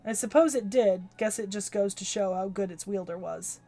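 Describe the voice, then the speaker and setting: A woman voicing a gruff, proud older male swordsmith with a growling voice and curt, commanding delivery, reading a game's story aloud on stream. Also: mid-range voice, normal speed, warm tone, faint music behind, close to an everyday voice.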